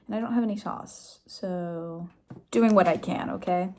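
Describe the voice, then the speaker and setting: A woman's voice: fairly low pitch, unhurried, mellow. A young woman chatting casually in a vlog about her day and a book she is reading. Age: young adult. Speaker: vlogger.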